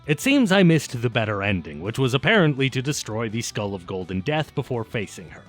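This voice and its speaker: A man's voice: medium pitch, very animated, brisk, soft background music. A man narrating a scripted but conversational video essay in a wry, casual, emphatic way with some swearing. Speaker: video essayist and game reviewer.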